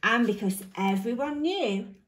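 A woman teacher reading a picture book aloud to young children, with deliberate, emphatic phrasing and dramatic pauses. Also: fairly high pitch, animated, fairly bright tone. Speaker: primary school teacher reading a story. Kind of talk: expressive storybook read-aloud for young children.

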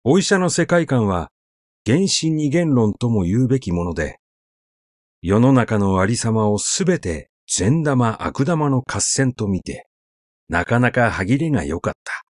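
Male narrator, middle pitch, unhurried pace, very lively intonation, conversational literary reading, dry close sound. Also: no echo.

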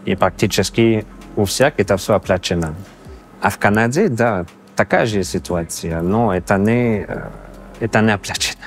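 A man in his thirties from Canada with a Canadian accent, speaking a learned second language with pauses and fillers in an interview. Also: lowish voice, faint music behind. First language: not Russian. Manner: halting, searching for words with many fillers. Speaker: interview guest. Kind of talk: casual interview answers. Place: exhibition hall indoors. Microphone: lapel.

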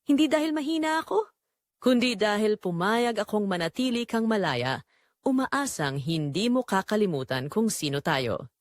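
A woman voicing a long-silent, wronged husband and father in an audio drama, grave, measured and restrained. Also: medium pitch, big pitch swings, no echo, studio quality, lightly acted. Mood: grave, hurt.

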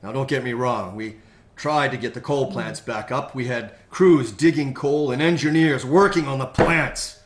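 An actor playing a worldly, hard-bitten mercenary leader in an audio drama, explaining things matter-of-factly. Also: male voice, mid-range voice, moderate pace, a little hiss or hum, natural voice. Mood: grim, explaining. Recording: echoey room.

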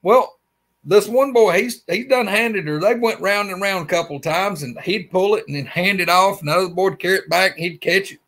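A man's voice: high voice, fairly quick. A man in his forties with an Alabama accent, telling a rambling story as a guest on a video call from his man cave. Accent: Southern US, Alabama. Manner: animated, rambling storyteller with lots of fillers. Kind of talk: casual storytelling interview. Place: his man cave, small indoor room. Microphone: webcam on a video call.

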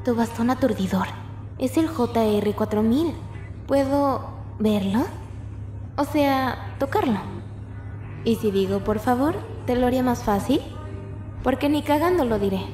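A woman's voice: on the high side, unhurried, pauses often, warm tone, natural voice. A young woman playing a tough, snarky outlaw in a Latin American Spanish video game fan dub, blunt and sarcastic. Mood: guarded, curious. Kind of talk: amateur fandub of a video game. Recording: echoey room with music.

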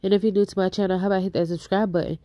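A woman hosting a casual celebrity gossip channel, talking fast with a stuffy nose and a bit out of breath. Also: mid-range voice, fairly even intonation, dark tone, no room sound, studio quality. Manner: chatty, fast, reads out posts. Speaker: YouTube host.

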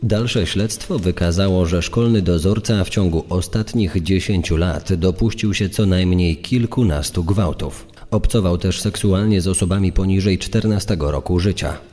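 Low, mellow male narrator, reverberant. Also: moderate pace, hardly any pauses, faint music behind. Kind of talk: scripted true-crime narration. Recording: long reverb.

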